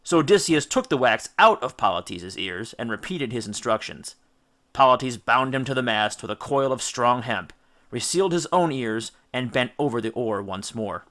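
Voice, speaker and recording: Male audiobook reader: mid-pitched, bright, forceful, theatrical narration of an adventure tale. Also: lively intonation, moderate pace. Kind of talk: dramatic scripted storybook narration.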